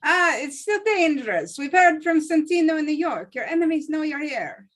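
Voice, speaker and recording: A woman's voice: sits high, expressive, moderate pace, fairly bright tone. A woman playing a worried, fatherly old Sicilian protector who cautions a young exile, in a live table read.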